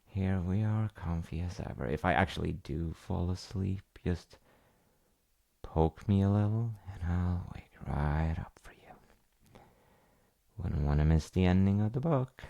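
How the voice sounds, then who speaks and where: A man playing an affectionate dad in a bedtime audio roleplay, speaking softly and hesitantly as he soothes his child. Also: low-pitched, a little slow, creaky. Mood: cosy, soothing. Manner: soft, hesitant, murmuring.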